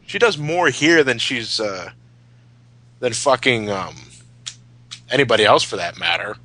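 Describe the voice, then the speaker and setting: A man's voice: medium pitch, expressive, measured pace, bright, forceful tone, dry recording. A man giving casual fan commentary, opinionated and emphatic, with plenty of swearing. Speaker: commentary host.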